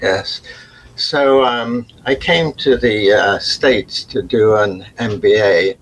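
An older man from England with a faint Liverpool accent, a radio interview guest who talks slowly and haltingly with many restarts. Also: on the low side, a little hiss or hum, poor audio. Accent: faint Liverpool, mostly softened. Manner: slow and halting, with restarts and fillers. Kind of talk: reflective interview talk.

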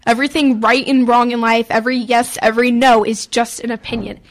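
A woman's voice: on the high side, flat delivery, brisk. A teenage girl co-hosting a kids' talk show, chatty and giggly, often talking over her co-host. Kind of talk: casual chat.

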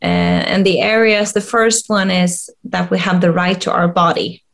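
A middle-aged woman from Sweden with a Swedish accent, answering interview questions hesitantly with many pauses and restarts. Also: lowish voice, flat delivery, gravelly, fairly bright tone. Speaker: guest, coach and HR expert.

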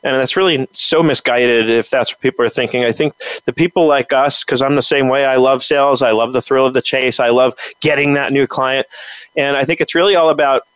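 A man's voice: medium pitch, fairly even intonation, a little fast, a bit bright, clear, resonant tone, no room sound, rough recording. A man co-hosting a podcast interview, friendly and conversational, asking follow-up questions. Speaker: podcast co-host.

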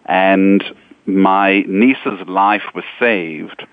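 Very deep-voiced middle-aged man with a South African accent who speaks earnestly and measuredly. His voice comes over a narrow line that sounds like a telephone, dry and close. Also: fairly even intonation, no echo. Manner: earnest, measured, frequent ums. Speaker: nonprofit executive, guest. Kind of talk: interview answers, personal story.

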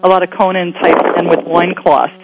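A raspy, slightly hoarse woman with a cold hosts a talk radio show. She chats casually and rambles, tossing in quick asides as she talks to her guest. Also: on the low side, flat delivery, lo-fi sound, very noisy. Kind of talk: casual radio interview chat.

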